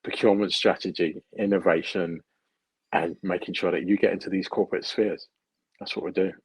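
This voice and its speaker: A middle-aged British man answering interview questions in a measured way, repeating phrases as he searches for words. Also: sits low, steady tone, slightly rough, poor audio. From United Kingdom. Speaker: guest, procurement consultant.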